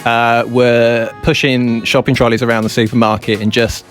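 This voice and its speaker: A man's voice: medium pitch, little pitch movement, normal speed, soft background music. A man with an English accent from Yorkshire, hosting a casual radio interview in a chatty, joking way and tripping over his words. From England. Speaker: radio host. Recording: radio studio.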